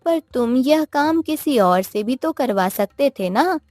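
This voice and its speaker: A woman's voice: high-pitched, expressive, a little slow, a little mellow, no room sound, pitched above the performer's own voice. A woman voicing an eager, easily surprised young village wife in a cartoon story, full of exclamations and questions. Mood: puzzled. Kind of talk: cartoon moral story acting.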